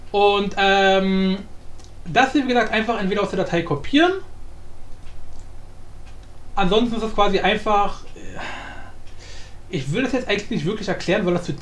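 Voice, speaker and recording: A man presenting a software tutorial casually, with informal, jokey asides and frequent self-interruptions. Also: high-pitched. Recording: roomy echo, faint background noise.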